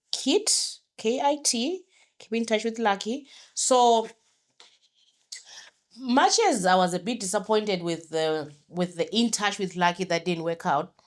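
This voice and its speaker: Chatty woman podcast host from Zimbabwe. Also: lowish voice. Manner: chatty, promotional, with self-corrections. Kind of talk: solo podcast talk.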